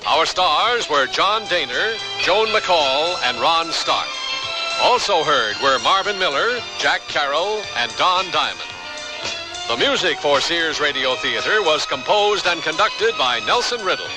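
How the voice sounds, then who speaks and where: A man's voice: fairly high pitch, animated, measured pace, over music, poor audio. A man announcing a radio theater program in a formal, scripted style.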